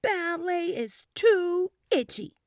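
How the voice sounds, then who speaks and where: Woman doing a shrill cranky little girl. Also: animated, slow pace, no room sound, clean studio sound, full character voice. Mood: shouty whining. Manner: shrill, shouty, whiny protests. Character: little girl having a cranky bad day.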